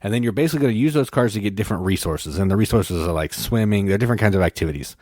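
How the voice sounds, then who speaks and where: A man's voice: on the low side, expressive, rapid-fire, darkish tone, no echo, studio quality. A man co-hosting a podcast, explaining game rules in casual chat, rambling and correcting himself. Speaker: podcast co-host.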